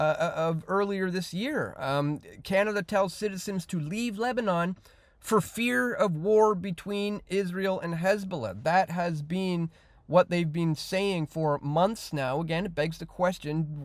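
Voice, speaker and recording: A man giving off-the-cuff news commentary as an independent host, reading out headlines in a halting but emphatic way. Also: on the high side, no echo.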